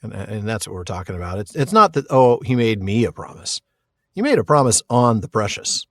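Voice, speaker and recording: A man's voice: lowish voice, very animated, fairly quick, a bit bright, no room sound, studio quality. A man co-hosting a podcast, discussing books casually in an agreeable, thoughtful, conversational manner. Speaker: podcast co-host.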